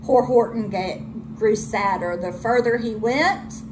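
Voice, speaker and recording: A woman teacher reading a children's storybook aloud with expressive delivery. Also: fairly high pitch, measured pace, background noise.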